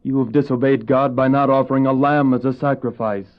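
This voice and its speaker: An actor playing Abel, a pious, earnest younger brother and shepherd who speaks formally and preachily in a religious audio drama. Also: male voice, medium pitch, steady tone, brisk, lightly acted. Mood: earnest admonishing. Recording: dull old cassette audio drama.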